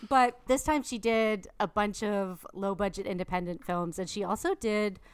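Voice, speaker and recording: A woman co-host with a smooth, polished voice that sounds close and dry. She moves from a breezy, punny scripted intro into loose, self-mocking chat. Her pitch sits in an ordinary middle range. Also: fairly even intonation, moderate pace, no echo. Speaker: podcast co-host.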